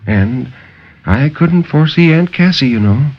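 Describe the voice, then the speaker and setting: A man voicing a gentle middle-aged wife and mother, feeble and hesitant, in 1950s radio horror storytelling. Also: on the high side, expressive, measured pace, voice pushed up. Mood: wistful. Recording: muffled 1950s radio broadcast.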